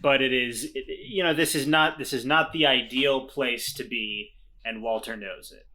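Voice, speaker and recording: A man's voice: mid-range voice, steady tone, moderate pace, bright, forceful tone. A man chatting casually as a tabletop game player, thinking aloud and describing his character hesitantly. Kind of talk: casual improvised game chat.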